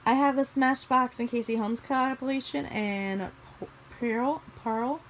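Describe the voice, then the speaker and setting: A woman's voice: sits high, steady tone, measured pace, a bit bright. A young woman from the American Midwest vlogging casually about her makeup collection, her voice a little scratchy from allergies, chatty and rambling with small restarts. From Missouri, USA. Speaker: beauty vlogger. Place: bedroom, indoors.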